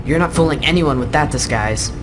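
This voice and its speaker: A man's voice: on the high side, a little fast, noisy background, lightly acted. An actor playing a bookish, earnest orphan boy in a video game, speaking matter-of-factly.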